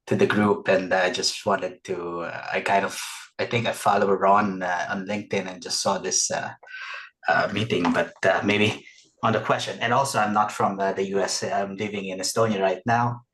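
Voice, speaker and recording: A man's voice: low voice, a bit bright, low-quality recording. A man asking a question in a webinar over a video call, hesitant, with many uhs. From Philippines. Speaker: audience member asking a question.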